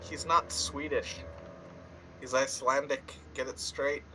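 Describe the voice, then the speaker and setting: A man chatting casually over voice chat, animated and explaining things in detail. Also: medium pitch, a little slow, music in the background, low-quality recording.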